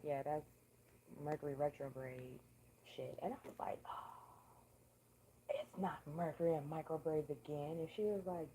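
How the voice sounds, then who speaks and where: A woman hosting a casual livestream chat, hoarse after losing her voice, talking in a laid-back, profane way. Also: sits low, mellow, a little hiss or hum, poor audio. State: hoarse, lost voice. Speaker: livestream host.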